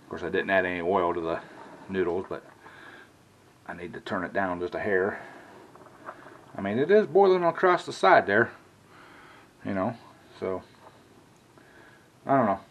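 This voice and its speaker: Guy rambling through a cooking vlog, kinda low voice, home kitchen. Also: normal speed, long pauses, lo-fi sound, a little hiss or hum. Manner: rambling, folksy, talks while cooking. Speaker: cooking vlogger.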